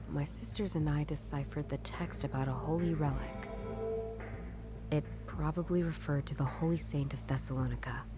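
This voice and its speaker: An actress playing a wry female detective working alone in a noir visual novel, with a dry, deliberate delivery. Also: low-pitched, natural voice. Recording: game music playing behind the voice.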